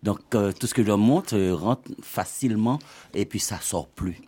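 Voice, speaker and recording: A man's voice: on the low side, moderate pace, dark tone, husky. A man who is a radio guest, joking in conversation and stammering as he goes. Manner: playful, stammering.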